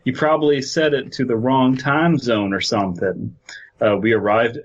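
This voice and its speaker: A man's voice: medium pitch, fairly even intonation, normal speed, muffled recording. A man co-hosting a podcast, bantering casually and reading aloud with incredulous, mocking commentary. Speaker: podcast co-host.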